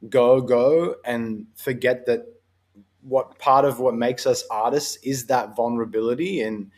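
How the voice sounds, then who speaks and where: A man's voice: mid-range voice, fairly even intonation, a little slow, darkish tone. A man hosting a podcast, talking in a laid-back, agreeable and thoughtful way in casual conversation. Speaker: podcast host.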